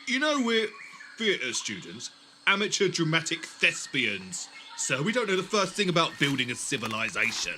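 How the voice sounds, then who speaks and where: An actor playing a young, inquisitive theatre student in an audio drama, questioning and incredulous. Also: male voice, on the high side, huge pitch swings, normal speed, sharp tone, slight background noise, exaggerated voice, poor audio. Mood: doubtful.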